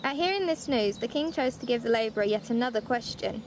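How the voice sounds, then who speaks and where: A woman's voice: a little high, expressive, quick pace, a crowd in the background, lightly acted. A woman playing a friendly older relative who reads bedtime stories in an amateur drama. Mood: storytelling. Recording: roomy echo, faint background noise.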